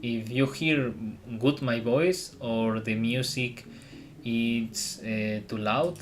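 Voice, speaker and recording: A man from Spain who speaks English as a second language, chatting casually on a live stream and often pausing to search for words. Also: medium pitch, fairly even intonation, a little hiss or hum. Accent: Spanish. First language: Spanish. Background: guitar music.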